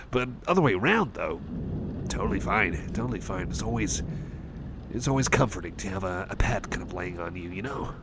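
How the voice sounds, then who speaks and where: A man's voice: low voice, animated, normal speed. A man playing an anxious, timid cyborg buddy in an ASMR roleplay, stammering, hesitant and rambling, repeating himself as he talks intimately to the listener. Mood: fond, rambling. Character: anxious, timid young male cyborg buddy, gentle and apologetic. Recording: noisy thunderstorm ambience behind voice.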